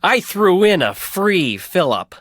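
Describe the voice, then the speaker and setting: A woman's voice: fairly low pitch, sing-song, slow pace, dry recording, clean studio sound, full character voice. A folksy gas station man. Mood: folksy, bossy. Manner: drawling, folksy, repeats a goofy slogan. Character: gruff folksy male gas station owner. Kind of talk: video game voice acting.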